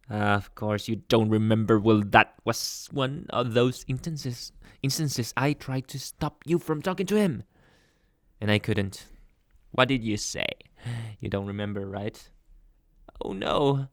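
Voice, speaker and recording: A man playing a jealous, possessive young boyfriend in an ASMR roleplay, speaking with dramatic pauses and dropping into whispers. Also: mid-range voice, dry recording, clean studio sound, natural voice. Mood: aggrieved, obsessive.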